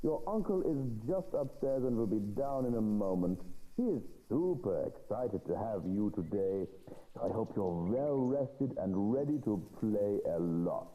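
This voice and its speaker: A man's voice: lowish voice, lively intonation, measured pace, warm tone, poor audio. An actor playing a dutiful male aide in a video game, speaking politely and coaxingly as if to a child. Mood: saccharine, spiteful.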